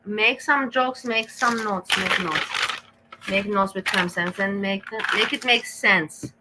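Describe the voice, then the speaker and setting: A woman's voice: mid-range voice, slow, heavy background noise. A woman with an Austrian accent giving a profane, scolding rant while reacting to clips. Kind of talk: ranting reaction commentary.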